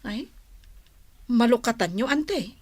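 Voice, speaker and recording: High-voiced suspicious Ilocano wife. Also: female voice, lively intonation, slow, darkish tone, dry recording. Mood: suspicious, confiding. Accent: Filipino, Ilocano. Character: suspicious wronged wife and mother, fed up with husband. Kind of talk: Ilocano radio drama acting.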